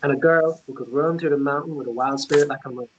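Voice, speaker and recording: A man playing a gentle, devoted romantic in a naturalistic table read, earnest and halting. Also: on the high side, steady tone, a little hiss or hum, lightly acted. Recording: slightly dull lo-fi recording.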